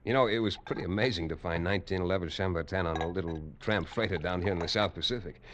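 An actor in a 1950s radio drama playing a shrewd adventurer with a dry, knowing manner. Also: male voice, low voice, fast, noisy background, close to an everyday voice. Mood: wry. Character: shrewd adventurer, cool and wry.

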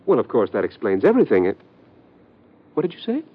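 A fussy, dapper lawyer. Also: male voice, mid-range voice, wildly swooping pitch, husky. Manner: fussy, hurried. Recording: muffled old radio recording.

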